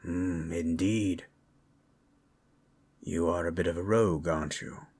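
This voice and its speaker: A grave old sage from a fantasy audio drama, played by a man. The voice is deep, husky and slow, with formal, deliberate phrasing and a solemn, measured temper. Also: steady tone, darkish tone, heavily acted.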